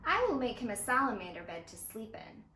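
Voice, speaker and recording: A woman's voice: a little high, very animated, a little fast, pushed and bright, a little hiss or hum. A woman voicing an eager, imaginative young boy from a picture book, earnestly and confidently explaining plans. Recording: roomy echo of a home interior.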